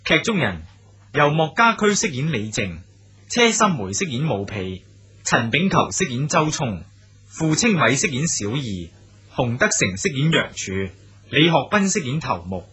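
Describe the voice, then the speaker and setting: Guy announcing a radio play, formal, really husky but sharp, lively pitch. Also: a little slow. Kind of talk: formal scripted credits announcement.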